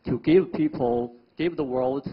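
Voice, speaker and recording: A man's voice: medium pitch, a little slow, slightly rough, muffled recording. A male panel guest answering hesitantly, searching for his words. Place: TV studio.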